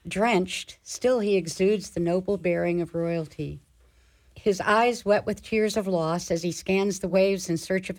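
A woman's voice: fairly low pitch, a little mellow. A woman narrating a scripted radio drama in a formal storytelling manner.

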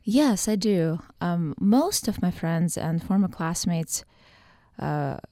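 A woman from Ukraine, a political science professor, answering radio interview questions thoughtfully with frequent pauses and fillers. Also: fairly low pitch, animated, mellow, dry recording, studio quality. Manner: thoughtful, with many hesitations and fillers.

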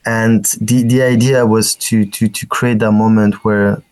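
A man in his forties with a French accent, a festival director talking as a radio guest, halting with many restarts and fillers. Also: on the low side, level tone, a little mellow, clear, resonant tone. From France. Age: 40s.

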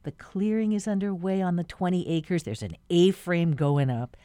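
Female radio host, mellow tone, relaxed chatty delivery. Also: fairly low pitch, normal speed, dry recording. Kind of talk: public radio talk and field reporting.

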